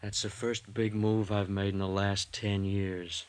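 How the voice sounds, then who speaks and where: Actor as low-voiced, bitter betrayed husband. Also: male voice, flat delivery, unhurried, slight background noise, lightly acted. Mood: bitterly defiant. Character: betrayed, henpecked husband turned bitterly defiant. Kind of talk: 1950s radio crime drama acting.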